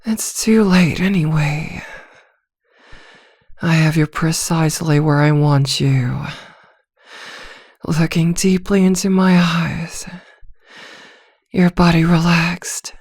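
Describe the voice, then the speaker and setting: A woman voicing a seductive, dominant vampire in a soft, breathy, teasing ASMR roleplay, coaxing hypnotically close to the mic. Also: sits low, slow, long pauses, dry recording, full character voice. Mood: smug, teasing. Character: seductive, dominant female vampire posing as a therapist. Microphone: close microphone, very dry.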